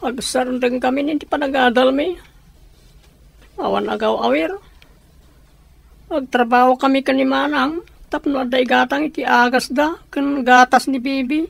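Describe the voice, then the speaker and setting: A woman's voice: high-pitched, moderate pace, creaky, long pauses, no room sound. A woman playing an anxious, earnest and dutiful young sister in a radio drama, sounding troubled. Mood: resigned.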